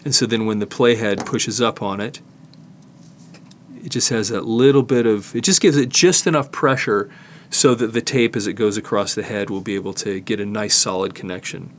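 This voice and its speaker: Older man explaining, noisy recording. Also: lowish voice. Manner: explanatory, halting, thinking aloud while working. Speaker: host, tape digitizing technician. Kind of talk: casual hands-on demonstration. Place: studio, indoors.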